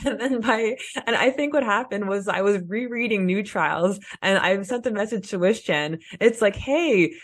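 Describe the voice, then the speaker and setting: A woman's voice: a little high, no echo. A woman co-hosting a fan podcast, chatting casually and enthusiastically. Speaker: podcast co-host.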